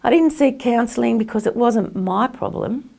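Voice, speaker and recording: Reflective woman with a creaky voice. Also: a little high, moderate pace, mellow. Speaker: carer sharing personal experience. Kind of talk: reflective personal testimony.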